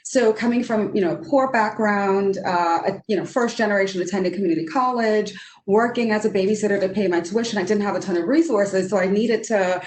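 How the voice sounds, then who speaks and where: A woman's voice: medium pitch, brisk. Trinidadian woman on a roomy video call. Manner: earnest, animated, many fillers and restarts. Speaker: economist panelist. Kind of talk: roundtable discussion.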